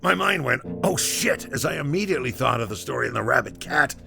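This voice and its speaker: An actor playing a rattled soldier telling a halting, conversational first-person story in an audio drama, with a gravelly voice. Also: male voice, medium pitch, lively intonation, over music. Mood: shaken, intense. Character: rattled male battalion soldier recounting a disturbing morning.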